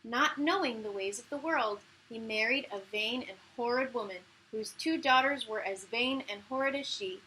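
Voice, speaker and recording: A woman reading a children's story aloud as a teacher, in an animated, emphatic way with key words drawn out. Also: fairly high pitch, normal speed, few pauses, slight background noise, poor audio. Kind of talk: expressive children's read-aloud narration.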